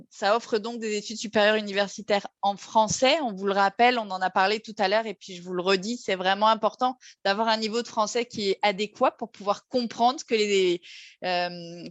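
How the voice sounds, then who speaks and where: A woman with a French accent hosts an advice talk at a middle pitch. She explains in a friendly way, stopping now and then on fillers. Her voice is recorded dry and close. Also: normal speed, no echo, highs cut off. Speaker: host. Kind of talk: informational talk with a guest.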